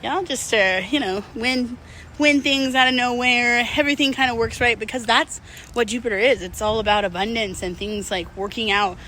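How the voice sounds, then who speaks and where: A woman's voice: on the high side, normal speed, sharp tone, slight background noise, rough recording. A middle-aged woman talking casually and unscripted to her viewers, candid and slangy, rambling and drifting mid-thought. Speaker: vlog host.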